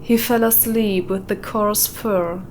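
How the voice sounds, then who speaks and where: A woman narrating a short story in a deliberate way with dramatic pauses. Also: medium pitch, steady tone, a little mellow. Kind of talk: scripted narration, atmospheric storytelling. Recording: windy howling ambience behind voice. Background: added wind or howling ambience.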